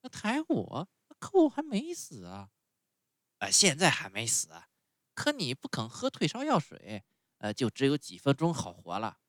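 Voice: medium pitch, wildly swooping pitch, moderate pace, fairly bright tone, no room sound, clean studio sound, pitched above the performer's own voice. A storyteller voicing a stubborn, whining wooden puppet boy who is sick in bed. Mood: wailing. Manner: whining, pleading, wailing.

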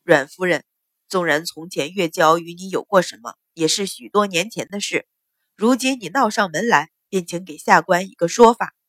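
A woman voicing a cold, dignified male official who speaks in a measured, lowered tone, in a dry recording. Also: a bit bright, no echo, lightly acted.

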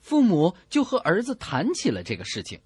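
A man's voice: high voice, wildly swooping pitch, fairly quick, breathy edge, no echo. A man hosting a radio comedy show, narrating jokes and bantering. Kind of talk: animated joke narration and banter.

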